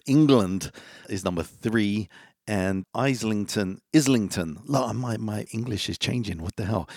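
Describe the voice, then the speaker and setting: Low gravelly-voiced man hosting a music podcast. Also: dry recording, clean studio sound. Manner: relaxed, chatty, talks straight to listeners. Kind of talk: casual chat between songs.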